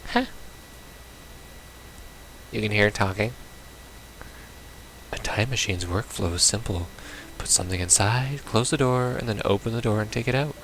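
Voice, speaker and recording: A man's voice: lowish voice, normal speed, long pauses, a bit bright, a little hiss or hum, poor audio. A man playing through a visual novel, reading it aloud and commenting casually with sardonic, blunt reactions. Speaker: let's player. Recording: music behind the voice.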